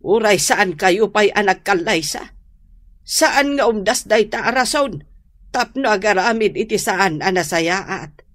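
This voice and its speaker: Radio drama mother, middle-aged Filipino played by a woman: stern, admonishing, measured and unhurried delivery. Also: medium pitch, lively intonation, lightly acted. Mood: reproachful. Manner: admonishing, deliberate. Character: stern middle-aged mother scolding her grown daughter. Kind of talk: Ilocano radio drama acting.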